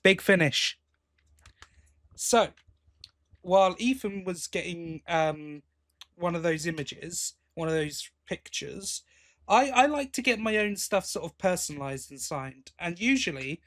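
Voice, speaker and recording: A British man with a voice pitched a little high for a male speaker hosts a casual podcast. He is chatty and rambling, often breaking off mid-thought to correct himself or take up another. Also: animated, a little slow, a bit bright. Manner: chatty, rambling, self-interrupting. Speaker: podcast host. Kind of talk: casual chat.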